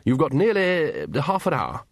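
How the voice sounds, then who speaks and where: A man voicing a hearty, blustering, absent-minded old wizard in an audiobook, halting often with ums and uhs. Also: fairly high pitch, huge pitch swings, dry recording, studio quality, voice pushed up, heavily acted. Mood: flustered.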